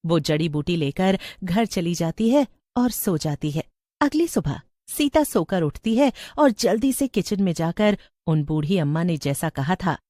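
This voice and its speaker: A woman's voice: lowish voice, warm tone, no room sound, studio quality. A woman narrating a scripted story as the storyteller.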